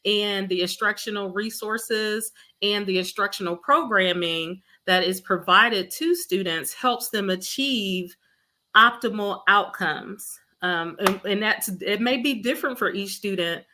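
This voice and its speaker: Earnest woman from mississippi, school admin, bright voice, lots of ums, video call. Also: medium pitch, a little slow. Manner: warm, earnest storytelling with fillers. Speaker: school district chief academic officer. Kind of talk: interview guest.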